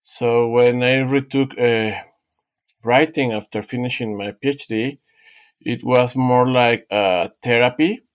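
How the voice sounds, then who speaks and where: Author guest, male, Spanish native; mid-pitched, hesitant and full of restarts, muffled remote sound. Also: steady tone, smooth. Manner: halting, many fillers and restarts. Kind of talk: casual interview talk.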